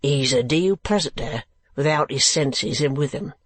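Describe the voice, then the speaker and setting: A reader voicing a coarse, squat male keeper from a storybook, gruff and blunt, with uneducated speech. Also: medium pitch, unhurried, dry recording, lightly acted. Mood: blunt, scornful.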